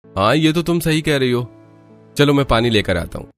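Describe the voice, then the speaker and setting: A man voicing a brisk, plain-spoken young village farmer in a cartoon. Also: medium pitch, expressive, soft background music, lightly acted. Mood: puzzled.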